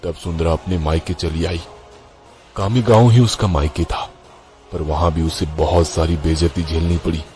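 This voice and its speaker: A man's voice: low-pitched, steady tone, normal speed, dark tone, soft background music. A man telling a horror story as a scripted, dramatic first-person narration.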